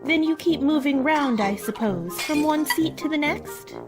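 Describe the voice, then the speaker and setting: A woman's voice: high-pitched, expressive, measured pace, over music. A woman playing a curious young girl in a radio play, prim, questioning and easily indignant. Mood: inquisitive.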